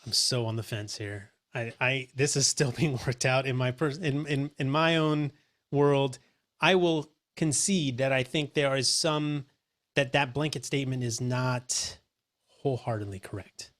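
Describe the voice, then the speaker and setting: A man hosting a casual roundtable chat, talking in an animated, emphatic, opinionated way into a dynamic mic pointed up at his face. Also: mid-range voice, unhurried. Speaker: host.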